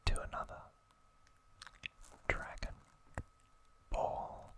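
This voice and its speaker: An ASMR video host talks in a bright, sibilant whisper. The delivery is very slow and drawn-out, with soft phrases set apart by pauses, in the manner of a whispered trivia talk.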